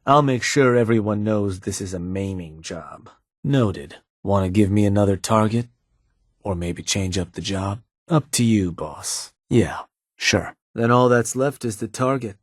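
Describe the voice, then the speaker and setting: Game role: a laconic raider lieutenant, very low husky voice, dry studio sound. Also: male voice, measured pace, dry recording. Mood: matter-of-fact. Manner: terse, businesslike, dry. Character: gruff male raider lieutenant, laconic, world-weary. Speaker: game character. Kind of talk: video game voice lines.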